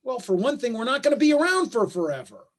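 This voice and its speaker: Father, male actor, high-pitched voice. Conciliatory, self-deprecating, halting, working-class dad in naturalistic stage drama. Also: sing-song. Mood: exasperated, urging. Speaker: stage actor in a play reading. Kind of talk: staged play reading, naturalistic dialogue. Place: remote video call.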